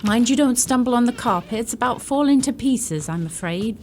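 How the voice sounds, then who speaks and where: A woman's voice: a little high, expressive, moderate pace, a little mellow, close to an everyday voice. An actress playing an elderly servant woman in a radio drama, deferential, grave and matter-of-fact. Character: long-serving elderly female housekeeper, deferential, grave. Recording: faint background noise.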